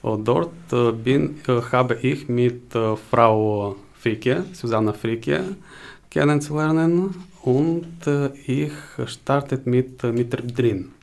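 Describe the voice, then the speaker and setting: Slow, halting man with a Ukrainian accent. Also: mid-range voice, gravelly, a little mellow. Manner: hesitant, searching for words. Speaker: interview guest, job seeker. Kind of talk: interview answers in halting second-language German.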